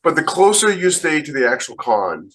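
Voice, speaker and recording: A man's voice: on the high side, big pitch swings, moderate pace, a bit bright. A man co-hosting a podcast, chatting casually and giving advice in an opinionated, emphatic way. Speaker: podcast co-host.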